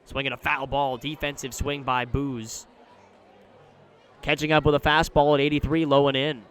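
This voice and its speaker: A man doing live radio play-by-play commentary of a baseball game, describing the action in a measured way that rises when the play picks up. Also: medium pitch, little pitch movement, fairly bright tone, clear, resonant tone. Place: live at an outdoor ballpark.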